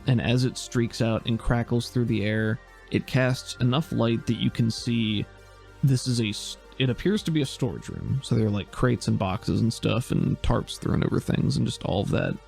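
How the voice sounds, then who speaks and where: A man narrating as game master in a casual tabletop session, relaxed and hesitant, thinking aloud with many fillers. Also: mid-range voice, moderate pace, creaky, soft background music.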